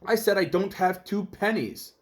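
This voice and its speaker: A man's voice: high voice, lively intonation, normal speed, voice pushed up. A man voicing an indignant, pompous male town mayor in a children's storybook, with emphatic delivery. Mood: outraged.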